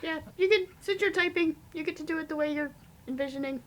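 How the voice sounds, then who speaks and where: A woman as a very high-pitched chirpy bird mascot. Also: quick pace, natural voice. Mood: explanatory. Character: cartoonish bird mascot, chirpy coding supervisor.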